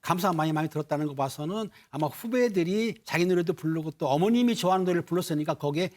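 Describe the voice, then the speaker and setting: A man's voice: fairly high pitch, animated, quick pace. A man giving explanatory news commentary as a studio panelist.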